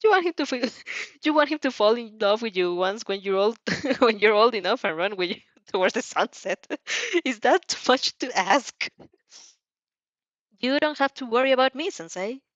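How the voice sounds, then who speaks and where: A woman chatting casually as a host, reacting to a story in a giggly, excitable, rambling way. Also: a little high, normal speed, no room sound, muffled recording. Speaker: host reading fan fiction aloud and reacting. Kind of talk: casual reaction stream chat.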